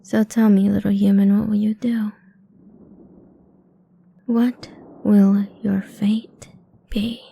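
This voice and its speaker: Woman playing a dark, breathy, cruel female vampire. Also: medium pitch, little pitch movement, heavily acted. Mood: taunting. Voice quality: dark, soft, breathy, creaky. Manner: slow, taunting, condescending, half-whispered. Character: cruel, playful, condescending female vampire toying with prey. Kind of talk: improvised ASMR roleplay.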